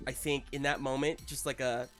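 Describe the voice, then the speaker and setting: A man leads a tabletop roleplaying game in a halting, agreeable way. His voice sits at a middling pitch and he moves between rules talk and improvised narration. Music plays faintly behind him. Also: level tone, fairly bright tone. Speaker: game master.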